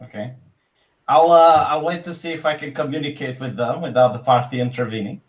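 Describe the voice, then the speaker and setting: A man's voice: medium pitch, fairly even intonation, a little fast. A man playing a tabletop game, hesitant and restarting often. He sits some way from the mic, so his voice sounds distant. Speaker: player. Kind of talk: casual game chat.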